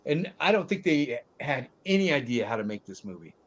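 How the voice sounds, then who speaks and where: A man's voice: medium pitch, sing-song, fairly quick. A man leading a casual film review panel, talking hesitantly and repeating himself.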